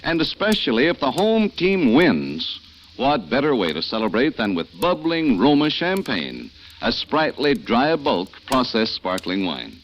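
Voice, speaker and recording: A man announcing a radio show and reading a sales pitch in a bright 1940s announcer manner. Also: huge pitch swings, measured pace. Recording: dull lo-fi 1940s radio with hiss.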